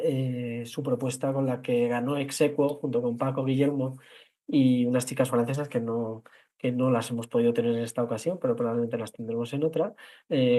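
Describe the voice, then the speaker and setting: A man's voice: medium pitch, mellow. A man with a Castilian Spanish accent hosting a talk, speaking discursively and spontaneously, often repeating and rephrasing as he goes. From La Mancha, Spain. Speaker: host introducing architecture award winners. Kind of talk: spontaneous moderated online talk.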